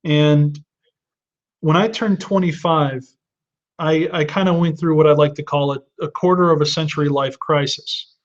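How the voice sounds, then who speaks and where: A man's voice: a little high, steady tone, moderate pace. Earnest man in his thirties, lo-fi audio. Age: 30s. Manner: earnest advice-giving with frequent restarts and fillers. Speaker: host answering viewer questions. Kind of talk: live webinar Q&A talk.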